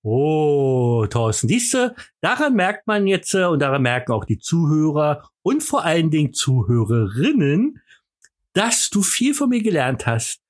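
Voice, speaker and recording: Lively high-voiced Berlin man bragging. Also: a little slow. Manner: playful, boastful, mock-pompous, rambling with many fillers. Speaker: podcast host. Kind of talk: joking banter.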